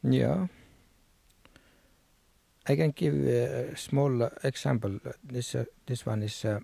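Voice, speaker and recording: Sami guy w/ accent talking music, really slow n gravelly, halting english, searching for words. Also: mid-range voice, dark tone, no echo. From Sápmi, northern Scandinavia. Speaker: Sami musician, joik singer. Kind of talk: explaining his music in an interview.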